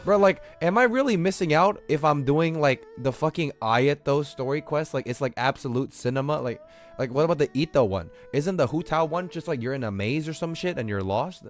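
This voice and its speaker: A man's voice: fairly high pitch, expressive, brisk. A young man streaming a video game, chatting casually and rambling in slangy, sweary speech. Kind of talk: casual livestream chat while gaming. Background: game music.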